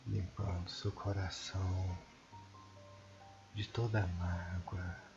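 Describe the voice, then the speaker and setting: A man with a Brazilian accent leads a guided sleep meditation in a soothing, hushed manner with long pauses. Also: low-pitched, flat delivery, gravelly, lo-fi sound. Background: prominent meditation music.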